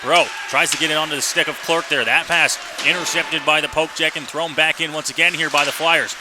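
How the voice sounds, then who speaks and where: A man's voice: fairly high pitch, fairly even intonation, a little fast. A man doing live radio play-by-play commentary of an ice hockey game as the announcer. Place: indoor hockey arena. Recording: noisy live arena radio broadcast.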